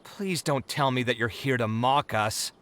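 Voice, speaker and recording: A man's voice: mid-range voice, unhurried, noisy background, lightly acted. A man voicing an idealistic, dramatic and easily flustered young architect in a video game, earnest and exasperated. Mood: indignant. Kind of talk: video game character acting.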